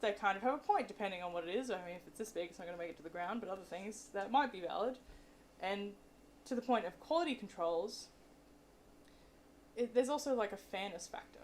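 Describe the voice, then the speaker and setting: A woman's voice: mid-range voice, animated, a little fast. A woman giving informal, candid explanations as a guest science speaker answering questions at a live talk. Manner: chatty, explanatory, candid about limits of expertise. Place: presentation room with an audience. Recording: noisy live room recording.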